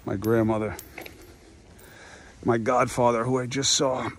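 A man's voice: mid-range voice, little pitch movement, a little slow, gravelly, slight background noise. A man in his fifties hosting a podcast, talking through a reflective monologue outdoors on a walk, musing and asking rhetorical questions. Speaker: podcast host. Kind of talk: reflective monologue while walking.

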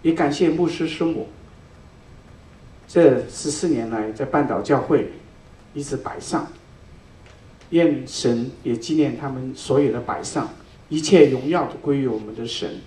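A middle-aged man speaks earnestly and thankfully in short phrases. His voice is mellow and mid-pitched. He is heard from far off in a roomy church hall. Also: unhurried, pauses often. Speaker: church member giving a testimony. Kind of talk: personal testimony. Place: large church hall indoors. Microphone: handheld mic, picked up from a distance. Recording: echoey hall recorded from far off.

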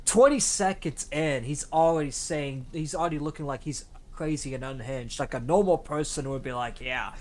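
A man's voice: on the high side, normal speed, hardly any pauses. A man co-hosting a podcast on an online call, chatting casually in a blunt, sweary, repetitive way. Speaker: podcast co-host. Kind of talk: casual chat.

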